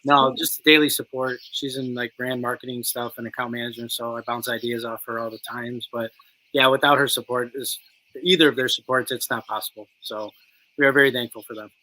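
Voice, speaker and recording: A man's voice: mid-range voice, steady tone, fairly quick, sharp tone, poor audio. A young man, a cigar company co-owner, talking in an easygoing, agreeable way. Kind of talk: casual chat.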